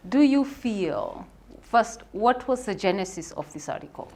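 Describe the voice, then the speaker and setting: A Kenyan woman with a medium-pitched voice hosts an interview. She speaks conversationally and attentively, as someone following the guest closely. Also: animated, a little slow, a little mellow. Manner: attentive, interjecting short acknowledgements. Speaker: interviewer, host.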